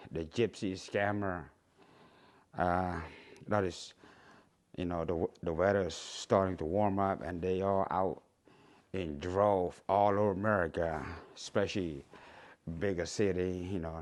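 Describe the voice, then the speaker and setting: Cambodian guy in his 60s, deep and slow, rambling vlog talk with lots of uhs. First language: Khmer. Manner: rambling, halting, many "uh"s and restarts. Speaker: YouTuber. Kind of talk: casual vlog to camera.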